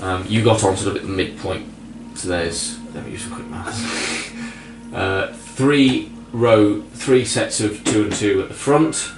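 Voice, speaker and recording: A man with a fairly low voice improvises as game master in a casual, halting style. He sits back from the mic in a roomy space, with music clearly playing behind him. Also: distant mic. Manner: casual, halting, searching for words. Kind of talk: improvised tabletop game narration. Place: indoor room, group around a game table.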